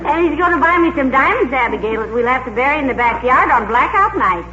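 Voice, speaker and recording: Heavy hiss, dull vintage radio, sharp-tongued affectionate wife, very high voice, teasing, dry comic delivery. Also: female voice, animated, fast, over a noisy crowd. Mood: wry, eager. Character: wry, sharp-tongued, affectionate wife, plain-spoken and skeptical. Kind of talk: 1940s radio comedy acting. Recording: very noisy, dull 1940s radio recording.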